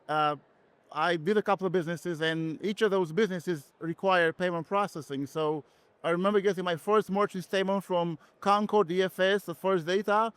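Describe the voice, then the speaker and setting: Middle-aged Romanian-accented male guest, high clear voice, earnest and halting personal storytelling. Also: steady tone, a bit bright, no echo. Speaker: interview guest, company founder. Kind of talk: personal story in a podcast interview.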